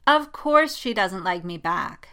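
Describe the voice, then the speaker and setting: A woman's voice: medium pitch, animated, slow pace, pushed and bright, clean tone, full character voice. A woman voicing an anxious, lovestruck young female pilot in a story, sighing and whining in exasperation. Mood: lamenting. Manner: sighing, lamenting, whining, easily flustered. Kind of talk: dramatic fan fiction reading.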